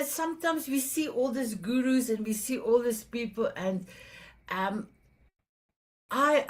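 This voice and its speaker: A South African woman hosting an interview, speaking earnestly with frequent halts and restarts. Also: on the high side. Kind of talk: conversational interview.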